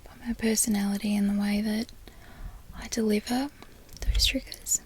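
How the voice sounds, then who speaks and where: A woman with an Australian accent, an ASMR creator softly whispering repeated trigger words. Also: mid-range voice, flat delivery, normal speed, slight background noise.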